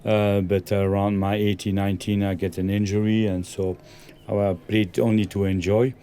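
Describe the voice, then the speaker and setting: A man from Belgium, a football coach, answering interview questions in halting full of ums and loose grammar. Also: fairly low pitch, flat delivery, mellow, slight background noise.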